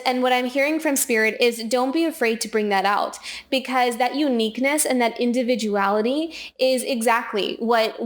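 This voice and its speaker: A woman doing a casual spiritual oracle card reading, talking in a soft, rambling way while losing her voice. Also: on the high side. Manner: soft, rambling, repeats and restarts phrases. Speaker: tarot and oracle card reader.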